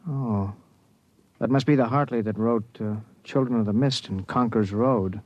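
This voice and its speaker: An actor playing a dutiful, commanding army major in a 1950s radio drama. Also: male voice, mid-range voice, moderate pace, darkish tone, no room sound, lightly acted. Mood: regretful.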